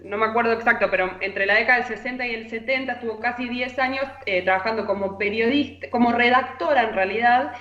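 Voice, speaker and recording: A woman with an Argentine accent giving a chatty, informal radio column full of anecdotes as a literary columnist. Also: a little high. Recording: echoey, slightly dull remote audio.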